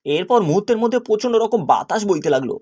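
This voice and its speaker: High-voiced male story narrator. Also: sing-song, racing, a little mellow, breathy edge, highs cut off. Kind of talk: scripted children's story narration.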